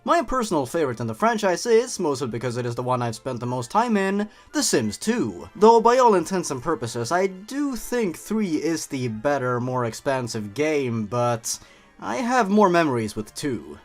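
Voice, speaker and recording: A man's voice: fairly high pitch, sing-song, normal speed. A young man narrating and commenting on an online horror story, expressively and with playful, wry asides. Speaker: YouTube host and narrator. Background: background music.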